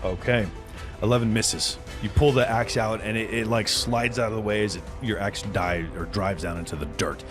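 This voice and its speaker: A man's voice: fairly low pitch, normal speed, over music. A man running a tabletop game, improvising dramatic, descriptive narration as game master.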